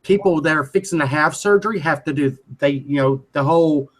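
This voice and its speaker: A man with a slightly high, husky voice, chatting casually as a host. He speaks in a wry, hesitant way and often restarts. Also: brisk. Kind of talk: casual chat.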